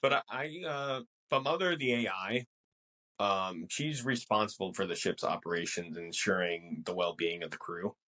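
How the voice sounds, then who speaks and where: Male podcast co-host, fairly low pitch, conversational and explanatory, measured delivery. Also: pushed and bright, poor audio. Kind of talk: casual movie discussion.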